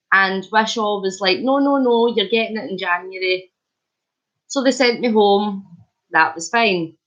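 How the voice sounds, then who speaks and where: Chatty Scottish woman live-streaming with a cold. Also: mid-range voice. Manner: chatty, warm greetings, chuckles. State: head cold, sore throat. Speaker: vlogger hosting a live stream. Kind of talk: casual live-stream chat, greeting viewers. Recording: lo-fi dull sound.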